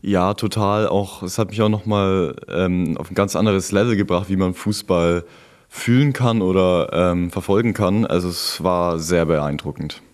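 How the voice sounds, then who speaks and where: A man's voice: low-pitched, normal speed, darkish tone. A young man from Germany chatting casually as an interview guest, telling of his own experiences. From Germany, born in Frankfurt and living in Franconia. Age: young adult. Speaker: interview guest, returned volunteer abroad. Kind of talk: casual conversational storytelling.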